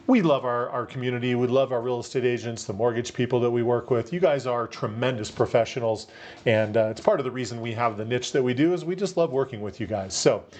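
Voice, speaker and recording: Guy with a radio-type voice pitching marketing tips to camera, chatty, lots of ums. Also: a little mellow. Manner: upbeat, persuasive, many fillers. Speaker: marketing coach for real estate agents. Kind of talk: casual talk to camera.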